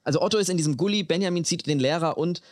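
A man's voice: fairly high pitch, racing, dry recording, clean studio sound. A man hosting a podcast, telling things in a casual, comedic, mock-horrified way with slangy English phrases. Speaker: podcast host. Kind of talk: casual comedic storytelling chat.

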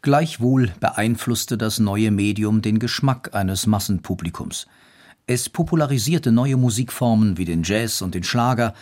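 A man's voice: on the low side, a little slow, darkish tone. A man reading scripted, essay-like historical commentary for a documentary. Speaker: documentary reader.

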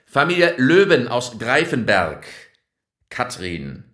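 An actor playing a brisk, self-important refugee official who declaims announcements and name lists in a radio play. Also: male voice, medium pitch, very animated, fairly bright tone, echoey room. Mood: officious.

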